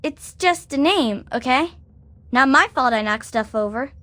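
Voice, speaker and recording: Little kid game character, high voice, innocent n clumsy, super dry recording. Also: female voice, lively intonation, a little slow, fairly bright tone, no room sound. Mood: innocent, chatty. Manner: simple, innocent childlike phrasing. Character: small naive clumsy child in a cave town. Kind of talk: video game character dialogue.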